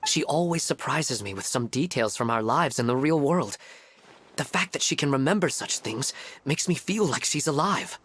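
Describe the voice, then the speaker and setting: An actor playing an earnest, wistful widowed father in a video game, speaking in a measured, reflective way. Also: mid-range voice, animated, a bit bright, husky. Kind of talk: voice-acted game story dialogue.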